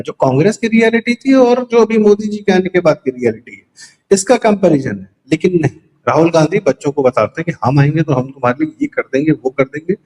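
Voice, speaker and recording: A man with a slightly high voice delivers opinionated commentary as if explaining things in conversation. He is emphatic and argues his points rhetorically, dropping English words into his sentences. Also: a little mellow, poor audio. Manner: emphatic, poses rhetorical questions and answers them; mixes English words into speech. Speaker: political commentator.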